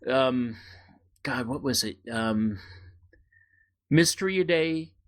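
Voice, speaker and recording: A man co-hosting a casual podcast, chatting in a halting, joking way. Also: mid-range voice, animated. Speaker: podcast co-host. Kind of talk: casual conversational podcast chat.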